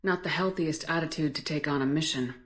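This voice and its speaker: An actress playing a steady, determined military commander in a video game, speaking in a direct, plain-spoken way. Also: female voice, sits low, fairly quick, close to an everyday voice. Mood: frank, supportive. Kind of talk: dramatic video game dialogue.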